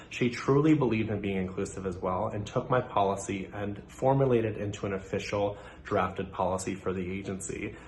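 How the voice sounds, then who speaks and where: A young man from Nova Scotia, a social work student giving a prepared presentation in an earnest, measured way with occasional fillers. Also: fairly low pitch, a little hiss or hum. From Nova Scotia, Canada.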